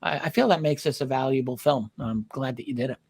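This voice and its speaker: A man hosting a conversational interview, with halting, measured phrasing. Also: mid-range voice, gravelly, a little mellow, breathy edge. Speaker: talk show host, interviewer.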